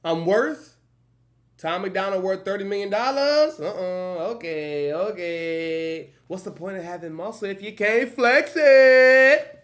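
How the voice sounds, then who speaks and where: A man hosting a reaction channel, talking loosely in slang and breaking into quick hyped outbursts between calmer remarks. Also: sits high, soft background music. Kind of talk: casual music video reaction commentary.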